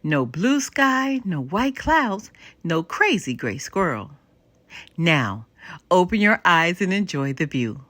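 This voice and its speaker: A woman voicing a kindly, wise grandmother in a children's storybook, with an emphatic, gently teaching delivery. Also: fairly low pitch, sing-song, slow pace, no echo, exaggerated voice. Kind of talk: children's storybook read-aloud.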